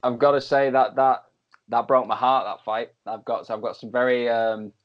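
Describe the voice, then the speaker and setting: A young man with a Manchester accent, co-hosting a casual sports chat in an animated way, with lots of fillers and restarts. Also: medium pitch, moderate pace. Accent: Mancunian English. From England. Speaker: co-host. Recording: slightly dull lo-fi audio.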